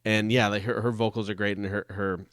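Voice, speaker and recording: A man interviewing a band, casual and halting, often searching for his words. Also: fairly low pitch, flat delivery, a bit bright, clean tone, no echo, studio quality.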